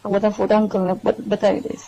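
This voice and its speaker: A woman's voice: medium pitch, little pitch movement, brisk, gravelly, dark tone, natural voice. A woman playing an anxious middle-aged married woman pleading with her young lover, hesitant and repetitive, in a staged phone-call drama. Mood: uneasy confiding. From India. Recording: dull lo-fi phone call recording.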